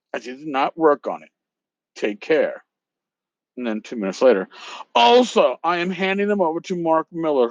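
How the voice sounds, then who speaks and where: A man hosting a podcast, talking casually and reading aloud in an emphatic, theatrical, sometimes halting way, on a slightly lo-fi recording. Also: a little high, no room sound. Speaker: podcast host. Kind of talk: casual talk with dramatic reading of emails aloud.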